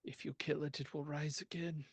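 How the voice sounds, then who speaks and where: A man voicing a haunted male mystic who talks in a slow, halting, flat way. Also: on the high side, gravelly, husky, dry recording, pitched above the performer's own voice.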